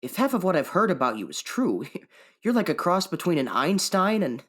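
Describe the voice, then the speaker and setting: A man's voice: sits high, very animated, normal speed, fairly bright tone, full character voice. An actor playing a courteous, admiring male visitor in a radio play.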